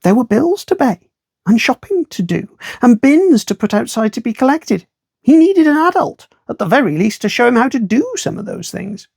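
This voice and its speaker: Creaky-voiced man reading a children's story. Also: very high voice, normal speed, no echo, studio quality. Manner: expressive storytelling with wry asides. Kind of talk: read-aloud children's storytime narration.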